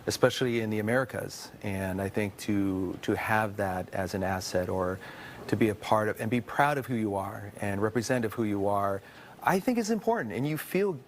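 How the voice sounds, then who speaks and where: A man's voice: lowish voice, expressive, brisk, a little hiss or hum. A man talking conversationally as an illustrator being interviewed.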